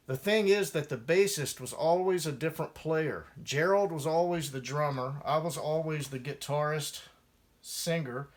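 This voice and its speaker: A man's voice: a little high, normal speed. A man reading his own first-person memoir aloud as a musician, in a wry storytelling manner.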